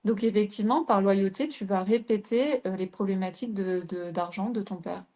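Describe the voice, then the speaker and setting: A middle-aged woman with a soft, mellow voice. She coaches a live audience, teaching as she talks and stopping often to ask her listeners questions. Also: mid-range voice, moderate pace. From southern France. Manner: explains with rhetorical questions to the audience.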